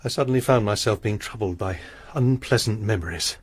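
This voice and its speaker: A man's voice: fairly low pitch, fairly quick, slightly rough. An actor playing a troubled, sermonizing male lodger with a formal manner in a radio drama. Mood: brooding. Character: sinister pious male lodger, troubled and brooding.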